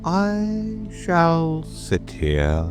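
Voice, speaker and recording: Storybook frog footman: solemn and dim, ponderously slow, with hugely swooping pitch. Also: wildly swooping pitch, music in the background, heavily acted. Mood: stolid, unbothered. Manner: slow, drawn-out, solemn delivery. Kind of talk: dramatic audiobook reading.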